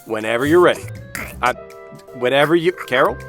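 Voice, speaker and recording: A man's voice: mid-range voice, animated, brisk, a bit bright, faint music behind, lightly acted. A man voicing a cocky, sarcastic teenage anime boy with an overdramatic manner. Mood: instructive, puzzled. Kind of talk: scripted cartoon parody acting.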